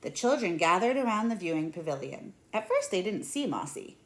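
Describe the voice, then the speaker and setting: A woman in her thirties reading a picture book aloud in an expressive, deliberate way. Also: on the low side, background noise. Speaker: read-aloud storyteller. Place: small indoor room at home.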